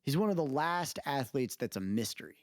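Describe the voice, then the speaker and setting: A man's voice: medium pitch, big pitch swings, brisk, no room sound, studio quality. A man co-hosting a podcast in casual conversation, emphatic and repeating phrases to drive points home. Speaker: podcast co-host. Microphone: close mic on a remote recording call.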